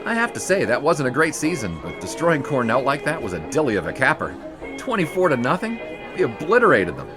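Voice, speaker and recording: An actor playing a young 1920s college sportswriter who narrates in ornate prose and banters teasingly. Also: male voice, medium pitch, wildly swooping pitch, fairly quick. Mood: playful. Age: young adult. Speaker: student sports writer. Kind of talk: 1920s radio drama. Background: music.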